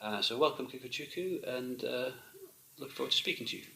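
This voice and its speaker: A man's voice: mid-range voice, expressive, fast talker, gravelly, bright, forceful tone. A man hosting a casual interview podcast, talking hesitantly with many ums and restarts. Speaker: podcast host, open research adviser. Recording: roomy echo.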